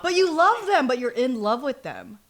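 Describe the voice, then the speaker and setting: High-voiced playful woman chatting casually. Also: huge pitch swings, moderate pace, crowd noise. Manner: playful, teasing, slangy. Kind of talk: casual banter between friends.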